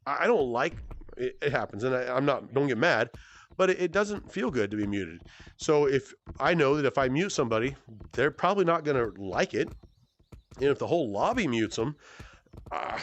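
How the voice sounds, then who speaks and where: A good-humored man in his forties chats through a fan Q&A while playing a VR game. He talks at an ordinary pitch and rambles, trailing into fillers and correcting himself mid-sentence. His voice comes through a headset mic. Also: sing-song, normal speed. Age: 40s. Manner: rambling and self-correcting, with many fillers and restarts. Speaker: gaming YouTuber answering fan questions.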